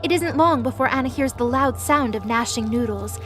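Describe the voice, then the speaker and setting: Female narrator for scripted audio drama; slightly high voice, music under the read. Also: moderate pace, fairly bright tone.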